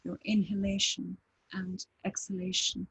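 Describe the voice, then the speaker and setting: A woman guiding a breathing meditation, speaking calmly with long pauses between short phrases. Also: medium pitch, gravelly, a little hiss or hum, low-quality recording. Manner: slow, calm, with long pauses. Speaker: meditation teacher.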